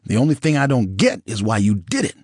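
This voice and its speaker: A man's voice: fairly low pitch, big pitch swings, a little fast, a little mellow, no echo, studio quality, pitched above the performer's own voice. A man reading an audiobook, voicing a blunt, exasperated ex-husband in a raised voice. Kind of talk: audiobook character dialogue.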